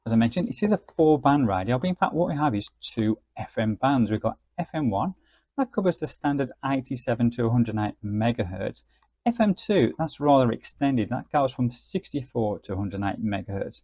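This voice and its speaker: Man reviewing gadgets, slightly husky, dry sound. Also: mid-range voice, animated, fairly quick, a little mellow, no room sound. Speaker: radio gadget reviewer, host. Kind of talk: casual product review narration.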